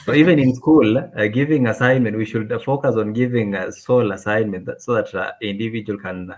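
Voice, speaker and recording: Hesitant low-voiced male guest. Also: darkish tone. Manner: hesitant, many "uh" fillers, deliberate. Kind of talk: conversational interview.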